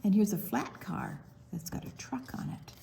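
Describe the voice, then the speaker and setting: A woman's voice: fairly low pitch, moderate pace, gravelly, warm tone, slight background noise. An older woman reading a picture book aloud to young children, drawing out words expressively and adding explanatory asides. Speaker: storytime reader.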